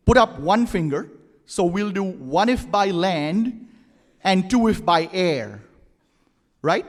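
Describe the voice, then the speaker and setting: Man giving a chatty conference welcome. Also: sits high. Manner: relaxed and chatty, fillers, engages the audience. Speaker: nonprofit leader giving opening remarks. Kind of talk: conference opening speech. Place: conference hall. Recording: long hall reverb.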